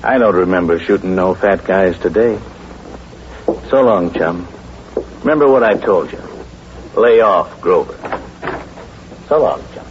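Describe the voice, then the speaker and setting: Hired gun for radio crime drama: threatening, slangy, clipped, low register, faintly noisy vintage recording. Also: male voice, measured pace, pauses often, lo-fi sound. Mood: cocky. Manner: terse tough-guy slang. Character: cocky, menacing male hired gunman. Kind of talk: 1940s radio acting.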